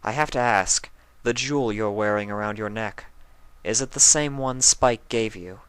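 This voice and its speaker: A man reading a fanfic aloud and voicing a solemn, wise female pony princess in a calm, measured way. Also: lowish voice, smooth, dry recording, lightly acted.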